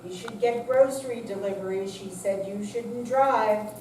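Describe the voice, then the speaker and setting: A woman playing a worried, gently nagging elderly wife in a staged reading, heard from a distance in an echoey room. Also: medium pitch, moderate pace, close to an everyday voice. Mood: worried, scolding. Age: older. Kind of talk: staged reading of a short play. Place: senior center room with audience, distant mic. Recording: echoey hall from far off, noisy.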